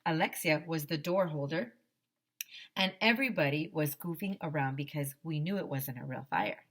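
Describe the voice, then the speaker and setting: A woman teacher reading a children's story aloud in an expressive, dramatic way, speaking to young listeners. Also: sits low, normal speed.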